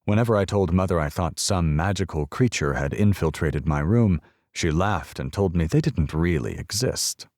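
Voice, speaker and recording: A man narrating an audiobook in the first person, measured and expressive. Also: low-pitched, no room sound, studio quality.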